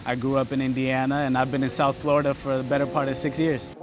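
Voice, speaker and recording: A man from Ethiopia, a guest in a casual interview, speaking calmly and thoughtfully at a steady pace. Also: mid-range voice, fast talker, over music, low-quality recording. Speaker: guest, football fan.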